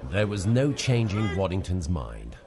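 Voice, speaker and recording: An actor with an English accent playing a weary, exasperated male spy in a comic radio play, expressive in narration and dialogue. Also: low voice, unhurried, crowd noise, exaggerated voice. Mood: narrating, dramatic. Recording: music behind the voice.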